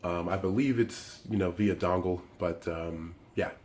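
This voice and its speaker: A hoarse man, his voice worn down by the flu, hosts a casual tech unboxing and review, rambling with many fillers and restarts. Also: deep voice, measured pace, darkish tone. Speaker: tech reviewer and channel host.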